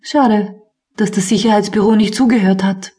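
A woman's voice: mid-range voice, steady tone, normal speed, warm tone, distant mic. A woman giving scripted narration in a radio play, with a wry, ironic tone.

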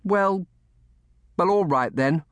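A man's voice: fairly high pitch, big pitch swings, moderate pace, dry recording, voice pushed up. A man voicing a frail, upset and stubborn young orphaned boy in a storybook, stammering as he protests. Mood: sulky. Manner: stammering, repeating words in protest.

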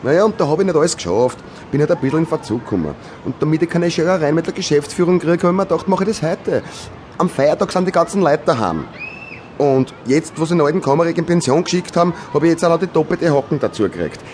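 A man's voice: fairly high pitch, animated, fast, a little mellow, slight background noise, natural voice. An actor with a Viennese accent playing a chummy, evasive, opinionated postman who rambles ingratiatingly in a radio play.

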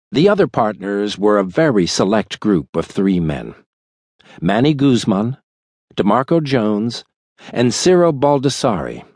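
A man reading a thriller audiobook in a measured, dramatic storytelling manner. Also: medium pitch, darkish tone, no room sound. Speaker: audiobook narrator. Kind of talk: scripted thriller audiobook narration. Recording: dry studio recording.

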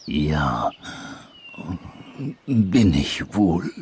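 A man's voice: medium pitch, huge pitch swings, slow pace, gravelly, husky, voice pushed up, heavily acted. A man voicing a big, easily hurt anteater in a storybook, hesitant and brooding. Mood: sad, subdued. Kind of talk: storybook character voice.

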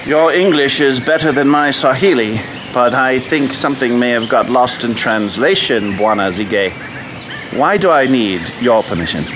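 A seasoned male hunter, played by an actor in a radio adventure, gruff and wryly blunt. His mid-pitched voice sits against noisy outdoor sound effects. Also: normal speed, close to an everyday voice. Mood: wary, defiant. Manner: dry, wry, blunt. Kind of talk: radio adventure drama acting.

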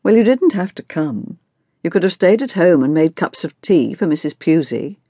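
A woman voicing an orderly, patient but mildly exasperated mother in audiobook dialogue, measured and dryly reproving. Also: fairly low pitch, sing-song, mellow, dry recording.

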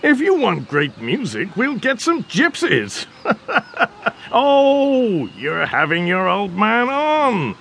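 Pompous, wildly animated old count. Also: male voice, high-pitched, takes it slowly, vocal fry, a little hiss or hum, heavily acted. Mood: mocking, jovial. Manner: pompous, mocking, imperious. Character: haughty, bigoted older Polish count, domineering father. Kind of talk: audio drama acting.

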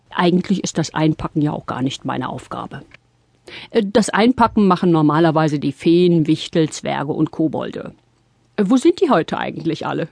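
A man's voice: on the high side, creaky, breathy edge, dry recording, heavily acted. A man playing a kindly, flustered old Santa Claus in a children's audio play, hesitant and puzzled, drawing out his exclamations.

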